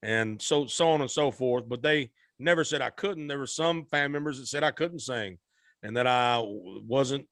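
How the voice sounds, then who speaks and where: A man in his thirties from Arkansas, a guest singer telling his story in a relaxed, rambling, folksy way, often correcting himself. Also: medium pitch, moderate pace, dry recording, clean studio sound.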